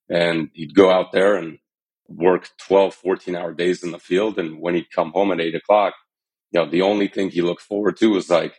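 A man in his thirties, a company founder telling his founding story in a podcast interview, earnest and measured. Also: sits low, darkish tone, breathy edge, poor audio. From Armenian, born in Iran, raised in the US. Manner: earnest and measured, some fillers. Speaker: startup founder and CEO, podcast guest.

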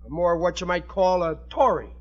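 An actor playing a blustering, bumbling working-class husband in a 1940s radio sitcom, stammering and using folksy grammar. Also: male voice, high voice, normal speed, muffled recording, close to an everyday voice. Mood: defensive bluster. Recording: noisy vintage radio recording.

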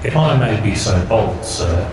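An actor playing a polite, deferential elderly butler hologram in an audio drama, speaking formally and with measure. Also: male voice, fairly low pitch, expressive, measured pace, background noise. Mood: courteous. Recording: echoey, loud music behind.

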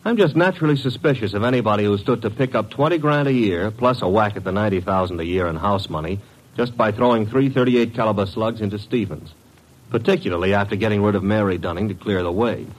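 Guy doing wisecracking detective sidekick in old radio play, deep-ish, slangy, cocky and wry. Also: quick pace, natural voice, poor audio. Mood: cynical, brisk. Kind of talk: old-time radio drama acting.

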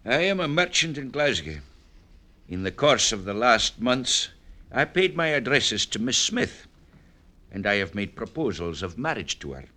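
Stiff, halting merchant suitor, bright-voiced. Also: male voice, medium pitch, sing-song. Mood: formal, halting. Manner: formal, halting, measured delivery. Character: stiff, shocked Scottish merchant suitor, formal and hesitant. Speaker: radio actor. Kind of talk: 1950s radio drama acting.

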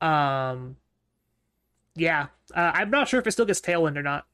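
A man's voice: a little high, lively intonation, a little slow, sharp tone, smooth. A man co-hosting a casual gaming podcast, easygoing and analytical, with filler words. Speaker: podcast co-host.

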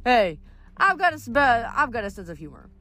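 Woman acting an indignant, short-tempered young hero, raised pitch. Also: very animated, a little slow, a bit bright, soft background music, heavily acted. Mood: grumpy. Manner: indignant, exasperated.

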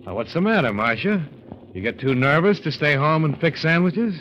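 An actor playing a wry, tough, clipped-talking investigator in an old-time radio detective drama. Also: male voice, on the high side, big pitch swings, normal speed. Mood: needling, tough. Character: wry, hard-boiled insurance investigator, male, tough and needling. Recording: dull, noisy old radio recording.

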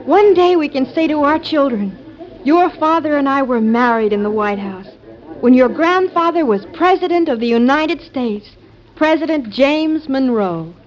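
A woman's voice: high-pitched, lively intonation, moderate pace. A woman playing an adoring, romantic young daughter in a gushing 1940s radio drama style. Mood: overjoyed. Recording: muffled old radio recording.

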